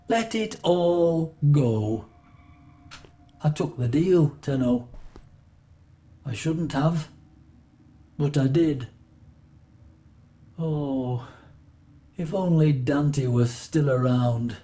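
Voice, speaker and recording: A wistful curator speaks in a soft-edged, mellow voice pitched a little high. He draws out his regrets very slowly, with plaintive drama, as a game character would. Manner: plaintive, dramatic, drawn-out phrasing. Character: wistful, regretful male museum curator, gently theatrical. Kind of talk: scripted video game character monologue.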